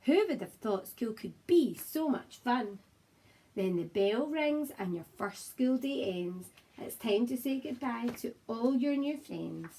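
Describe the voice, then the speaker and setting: A woman primary teacher with a slightly husky voice, talking to young children and reading a picture book aloud in an expressive, encouraging way. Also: fairly high pitch, slight background noise. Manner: expressive, encouraging, simple address to small children. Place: child's room at home, indoors.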